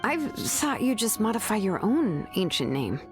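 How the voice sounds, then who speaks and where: An actress playing a sharp, laid-back young woman who calmly reasons through a scheme in a video game. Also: medium pitch, measured pace. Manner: calm, knowing, casually reasoning. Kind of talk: video game dub acting. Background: game music.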